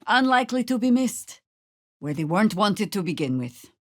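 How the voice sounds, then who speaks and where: An actress playing a seasoned, sardonic druid warrior woman in a fantasy video game, with a dry, measured, commanding delivery. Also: mid-range voice, big pitch swings, no echo. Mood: grave, wry. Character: seasoned, sardonic female druid warrior, world-weary but resolute. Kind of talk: scripted fantasy game dialogue with shouted spell incantations.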